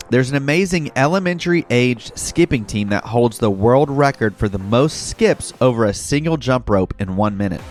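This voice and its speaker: Guy hosting a kids podcast, encouraging, talks right to the kids, music playing behind him. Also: mid-range voice. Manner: encouraging, addresses young listeners directly. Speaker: host of a kids' educational podcast. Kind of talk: scripted narration and game hosting for children. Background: background music.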